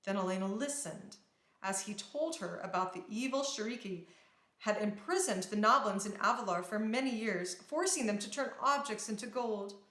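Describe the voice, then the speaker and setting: A woman reading a children's storybook aloud in a dramatic, expressive storytelling manner. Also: medium pitch, moderate pace. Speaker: storybook reader. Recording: roomy, echoing sound.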